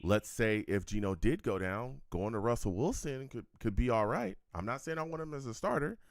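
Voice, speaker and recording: A man hosting a casual sports talk show, lively and prone to repeating words as he starts his thoughts. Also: mid-range voice, brisk, no echo, studio quality. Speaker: podcast host.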